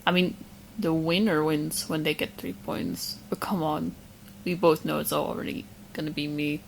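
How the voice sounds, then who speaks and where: A woman acts out a young witch hopelessly fixated on the listener in an audio roleplay. She talks in a slightly low female voice, coy and chatty, with smug confidence and small hesitant asides. A faint ambient noise sits behind her. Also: normal speed, creaky, rough recording. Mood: smug, possessive.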